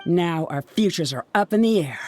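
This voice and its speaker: A woman's voice: lowish voice, lively intonation, normal speed, darkish tone, soft background music, lightly acted. A woman voicing an angry, bitter young female student in a dramatic video game scene, scolding and accusing. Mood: bitter, reproachful.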